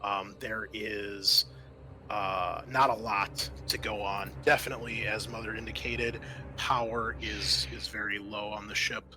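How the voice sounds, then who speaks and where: Bright-voiced man running a tabletop game. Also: on the low side, level tone, a little slow, a little hiss or hum. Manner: hesitant, thinks aloud while recalling rules. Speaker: game master. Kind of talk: explaining a tabletop game scenario.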